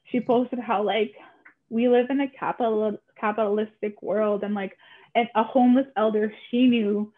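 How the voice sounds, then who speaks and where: A woman co-hosting a podcast, speaking earnestly and with feeling, filling her talk with "like". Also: fairly high pitch. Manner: impassioned, many "like" fillers. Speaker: podcast co-host. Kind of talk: earnest casual conversation. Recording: tinny phone-like line.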